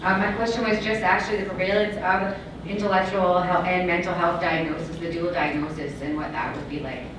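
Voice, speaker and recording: Friendly, chatty Canadian woman, lower voice, distant in an echoing, noisy room. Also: steady tone, brisk. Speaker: audience member asking a question. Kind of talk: public question at a conference. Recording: echoey hall, from off-mic, noisy.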